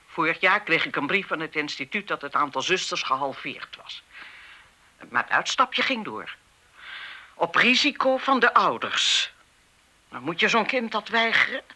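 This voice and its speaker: A woman's voice: fairly low pitch, very animated, moderate pace, sharp tone, exaggerated voice. A woman playing an embittered, guilt-ridden retired schoolteacher in a radio play, stern and lecturing in manner. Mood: defensive, indignant. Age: older. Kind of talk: Dutch radio play acting.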